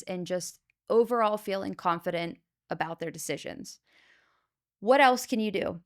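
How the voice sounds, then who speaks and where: A woman's voice: medium pitch, smooth. A woman hosting her own podcast as a Medicare insurance agent, talking straight to the listener in an earnest, emphatic way with lots of "okay?" and "you know". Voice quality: loud voice. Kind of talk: solo podcast talk to the viewer.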